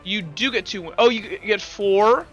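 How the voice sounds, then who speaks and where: A man's voice: sits high, fairly quick, a bit bright, dull audio, soft background music. A young man running a tabletop game as game master, talking casually, stumbling over rules and narrating gory combat.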